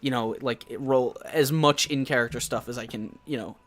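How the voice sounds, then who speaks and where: A man's voice: medium pitch, a little fast, fairly bright tone. A young man hosting a casual live-streamed talk show about tabletop games, talking loosely and swearing freely.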